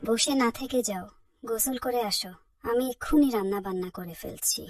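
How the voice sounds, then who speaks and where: A woman's voice: on the high side, a little fast, distant mic, a little hiss or hum, natural voice. A woman playing a caring but nagging, anxious village wife in a cartoon folk tale. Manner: fussing, admonishing.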